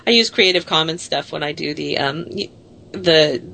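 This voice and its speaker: A woman's voice: sits low, moderate pace, gravelly, bright, forceful tone, slight background noise. A woman in her late forties hosting a casual radio talk show, chatty and self-deprecating, laughing easily. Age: 40s.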